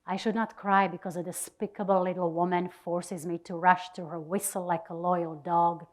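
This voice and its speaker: A woman's voice: lowish voice, level tone, moderate pace. A woman giving a dramatic reading of a personal diary to an audience. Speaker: reader at a literary event.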